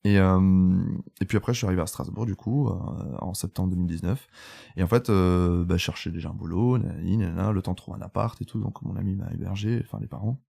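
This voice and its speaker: A man's voice: sits low, fast talker, gravelly, dark tone, breathy edge. A man in his twenties from Italy, speaking French as a podcast guest, telling his story candidly with lots of fillers and restarts. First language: Italian. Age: 20s. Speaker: guest photographer. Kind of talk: casual podcast interview.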